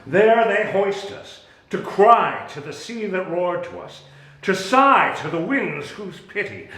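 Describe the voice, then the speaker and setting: Man acting slow, dramatically swinging Shakespearean wronged duke. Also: sits high, big pitch swings, fairly bright tone, full character voice. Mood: solemn, tender. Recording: echoey room.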